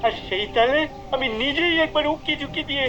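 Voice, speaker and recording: Conniving cartoon shopkeeper man played by an actor, high-pitched, flustered and muttering, over clear music. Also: fairly quick, lo-fi sound, natural voice. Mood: sly. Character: sneaky, flustered adult man, small-time crooked shopkeeper. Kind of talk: cartoon voice acting.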